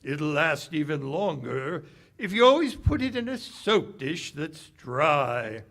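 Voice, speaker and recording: An actor performing in 1940s radio style, playing men from a vintage broadcast with a hearty, deliberate delivery. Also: male voice, fairly high pitch, sing-song, fairly bright tone, exaggerated voice. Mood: earnest selling. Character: folksy, enthusiastic 1940s soap company spokesman; bitter, guarded cafe owner. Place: live stage performance at a public library.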